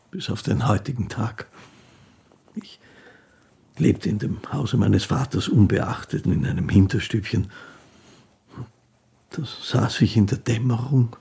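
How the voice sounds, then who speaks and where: An actor playing a poor, gentle, devout old fiddler who recalls his life in a halting, reverent monologue for a radio play. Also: lowish voice, creaky. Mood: wistful.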